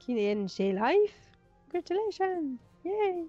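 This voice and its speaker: A woman co-hosting a casual game webcast on a headset mic at home, eager and quick to agree. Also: extremely high pitch, big pitch swings, mellow, lo-fi sound, faint music behind. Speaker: co-host. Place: home room. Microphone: headset with boom mic.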